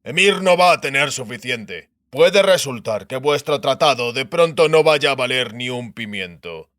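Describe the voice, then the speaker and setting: A man voices a blunt spymaster addressing royalty with stiff courtesy. The voice is forceful and sharp at a middle pitch, pointed, for an audiobook drama. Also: lively intonation, normal speed, no echo, studio quality, lightly acted. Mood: warning.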